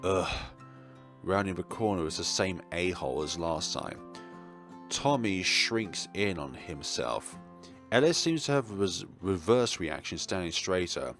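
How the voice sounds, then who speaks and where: Male let's player, lowish voice, very lively delivery, narrates and comments casually over game music. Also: a little slow. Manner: expressive, acts out dialogue, chuckles and stumbles over words. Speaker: let's play host. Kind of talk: reading a visual novel aloud with casual commentary.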